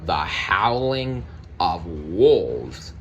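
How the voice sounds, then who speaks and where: Low-voiced, slow-paced man reading a storybook. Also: heavy background noise. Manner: deliberate, expressive narration with brief personal asides. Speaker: storybook reader. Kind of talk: children's read-aloud storytelling.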